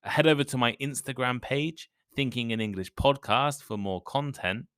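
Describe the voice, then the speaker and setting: Clear, measured male podcast host. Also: mid-range voice, a bit bright, no room sound, studio quality. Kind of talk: scripted intro then casual interview.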